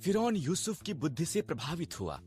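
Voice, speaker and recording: Animated male storyteller in Hindi. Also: on the high side, normal speed. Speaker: narrator. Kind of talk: scripted children's Bible story narration.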